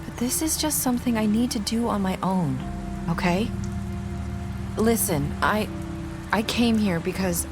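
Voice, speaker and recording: A woman voicing a guarded, sorrowful young woman in a video game drama, speaking hesitantly and haltingly. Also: medium pitch, expressive, music in the background, close to an everyday voice. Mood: hesitant, confiding.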